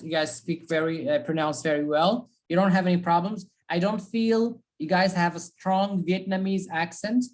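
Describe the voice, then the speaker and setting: A woman teaching an online speaking class, giving feedback and advice. She repeats her key points and keeps checking in with "okay?". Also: fairly low pitch.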